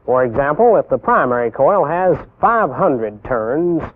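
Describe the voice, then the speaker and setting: A young American man giving scripted instructional narration as a military instructor, deliberate and didactic, posing rhetorical questions. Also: on the high side, very animated. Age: young adult. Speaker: military instructor presenting a training film. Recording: tinny band-limited 1960s film soundtrack.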